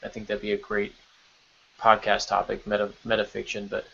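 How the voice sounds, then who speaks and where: A man's voice: fairly low pitch, monotone, fairly quick, gravelly, a bit bright, breathy edge. A man hosting a podcast in a casual, chatty way, steering the conversation. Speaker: podcast host. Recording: dull lo-fi audio.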